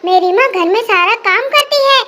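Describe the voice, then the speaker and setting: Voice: very high voice, expressive, normal speed, very noisy. A performer voicing an indignant, dutiful grown son who scolds in defence of his mother in a cartoon family melodrama. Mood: angry, accusing. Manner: scolding, accusatory, protective of mother.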